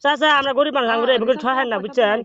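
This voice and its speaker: A man's voice: very high-pitched, racing, lo-fi sound, crowd noise. A man making a prank call, arguing insistently and complaining in a repetitive, pleading way over music. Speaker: prank caller.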